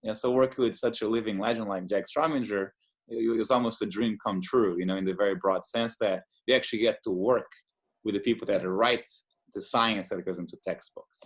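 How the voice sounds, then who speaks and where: A man's voice: on the low side, steady tone, a little fast. A man from Portugal, an immunologist in his thirties, talking enthusiastically in a casual interview with many fillers and restarts. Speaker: immunologist guest.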